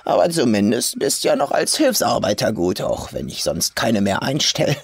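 A man's voice: on the low side, huge pitch swings, normal speed, gravelly, husky, full character voice. A man voicing a sinister old farm woman in a horror audiobook, folksy and coolly menacing in clipped sentences. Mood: mock-regretful, menacing. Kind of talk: audiobook horror story character.

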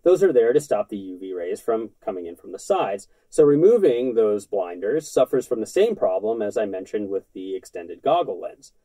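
Earnest man, lively matter-of-fact delivery. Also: mid-range voice, no room sound, rough recording. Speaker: outdoor gear vlogger. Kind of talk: scripted explainer to camera. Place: indoors in front of a brick-pattern backdrop.

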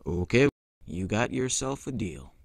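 A man playing a low-voiced, wary dealer. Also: animated, measured pace, vocal fry, dry recording, studio quality, heavily acted. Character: wary, menacing male drug dealer. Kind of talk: audio drama acting.

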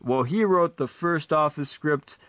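A man's voice: fairly high pitch, unhurried, a bit bright, no room sound. A man voicing a boastful, clueless superhero who rambles and bumbles.

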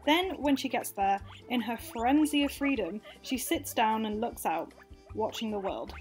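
High-voiced young woman, wry monologue. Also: measured pace, darkish tone, faint music behind. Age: young adult. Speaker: actress narrating a monologue. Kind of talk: confessional radio play monologue, acted narration.

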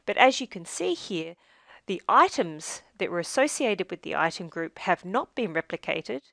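A woman reading a scripted software tutorial as demo narrator, in a measured, instructional manner with phrase endings that trail down. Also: lowish voice, sing-song, gravelly, no room sound.

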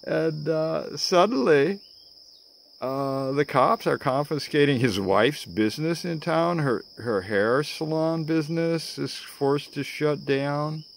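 Slow-talking older American man, theatrical. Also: on the high side, vocal fry, slight background noise. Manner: theatrical, dramatic pauses, chuckling asides. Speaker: vlogger. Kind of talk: spoken-word political rant to camera. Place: outdoors among greenery. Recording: outdoor ambience with chirping insects.

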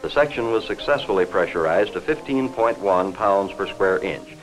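An American man reading formal, measured, factual scripted narration in the style of a 1950s industrial newsreel, on a vintage film soundtrack. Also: low-pitched, lively intonation, faint music behind, low-quality recording.

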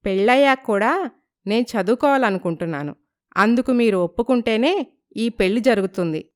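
Woman as clear-voiced, determined young bride. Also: fairly high pitch, lively intonation, moderate pace, lightly acted. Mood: firm. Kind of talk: dramatized moral story dialogue.

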